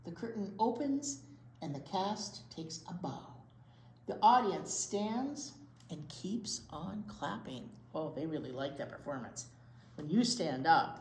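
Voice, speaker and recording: An American woman reading aloud at a storytime for young children, expressive, with drawn-out words and chatty asides. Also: deep voice. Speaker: children's librarian and storytime reader. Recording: roomy echo, faint background noise.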